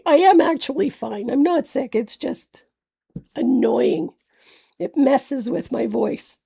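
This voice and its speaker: A hoarse woman with a gravelly voice, chatting with viewers by name while demonstrating mixed-media painting on a live stream. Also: sits high. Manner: chatty, greets viewers by name, warm fillers. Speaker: craft painting instructor.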